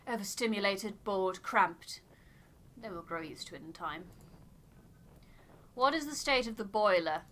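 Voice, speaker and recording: A woman's voice: mid-range voice, expressive, measured pace, long pauses, a bit bright. Woman playing a stern, clipped dog handler. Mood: stern, dry. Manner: terse, dry.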